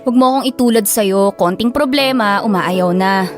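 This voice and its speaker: A woman with a Filipino accent playing a guarded, sharp-tongued young office worker in an audio drama. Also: mid-range voice, normal speed, faint music behind, close to an everyday voice. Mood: hurt, defensive. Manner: scolding, blunt, guarded. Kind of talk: Tagalog audio drama acting.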